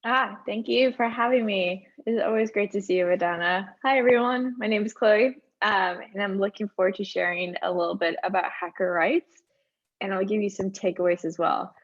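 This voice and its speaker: A woman's voice: medium pitch, fairly even intonation, moderate pace, no echo, highs cut off. A woman giving an upbeat, chatty webinar talk, her voice a little strained by allergies. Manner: upbeat, conversational, chatty asides. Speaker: conference speaker. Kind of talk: webinar presentation.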